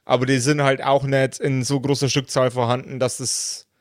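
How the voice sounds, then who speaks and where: Man, game master, improvised narration, halting delivery, noisy background. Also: mid-range voice, level tone. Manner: hesitant, pausing mid-sentence.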